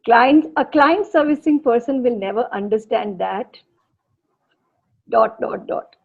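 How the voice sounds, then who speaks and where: A woman hosting an interview, asking short rapid-fire questions with brief hums between. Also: on the high side, lively intonation, vocal fry, slightly rough, narrow, tinny audio. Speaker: host. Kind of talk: casual video interview.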